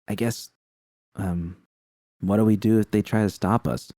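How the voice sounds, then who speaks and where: A man's voice: on the low side, steady tone, measured pace, dark tone, dry recording, clean studio sound. A man voicing a nervous, hopeful young woman who speaks hesitantly and sometimes teases, in an audiobook story. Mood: uneasy.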